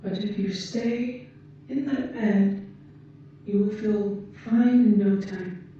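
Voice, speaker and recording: A woman voicing a calm, caring female alien in an ASMR roleplay, speaking gently and formally in measured phrases to a patient. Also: medium pitch, lightly acted. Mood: calm, reassuring. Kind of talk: ASMR roleplay, scripted monologue to the listener. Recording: muffled, echoey, distant, faint noise.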